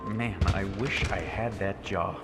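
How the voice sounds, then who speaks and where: An actor playing a chatty fashion show staffer, talking in a casual, everyday way. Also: male voice, sits low, unhurried. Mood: envious awe. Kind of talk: casual chat. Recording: loud music behind the voice.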